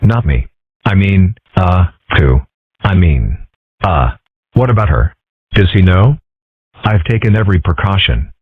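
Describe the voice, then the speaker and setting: A man's voice: low voice, lively intonation, measured pace, long pauses, breathy edge, no room sound, full character voice. A man voicing a geeky, scheming, self-important boy in a cartoon comic dub, wordy and pompous. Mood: flustered. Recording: tinny, phone-like audio.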